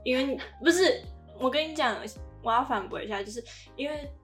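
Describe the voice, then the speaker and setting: A woman's voice: high voice, a little fast, fairly bright tone, soft background music. Young Taiwanese woman in casual discussion. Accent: Taiwanese Mandarin. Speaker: podcast co-host. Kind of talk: casual podcast chat.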